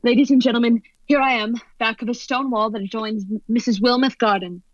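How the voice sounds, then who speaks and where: A woman playing an excited, increasingly horrified radio news reporter giving dramatic, halting eyewitness commentary in a radio play. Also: fairly high pitch, no room sound, close to an everyday voice. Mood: tense, alarmed. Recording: dull lo-fi audio under music.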